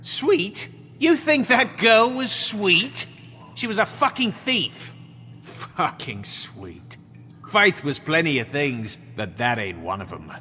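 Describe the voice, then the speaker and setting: Bright, cocky, reverberant thug. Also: male voice, a little high, sing-song, slow pace, soft background music, heavily acted. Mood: scornful. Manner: flippant, slangy, defensive. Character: cocky, evasive male street thug under questioning. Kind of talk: video game voice acting.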